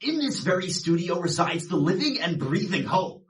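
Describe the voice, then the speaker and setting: A man's voice: high-pitched, sing-song, moderate pace, background noise. A young man in his twenties, a student sportscaster introducing himself with a polished broadcaster delivery. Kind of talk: self-introduction narration.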